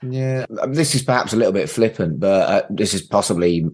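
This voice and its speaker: A man's voice: fairly low pitch, animated, fairly quick. Opinionated male podcast host. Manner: opinionated, sweary, asks questions. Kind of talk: casual pop-culture discussion.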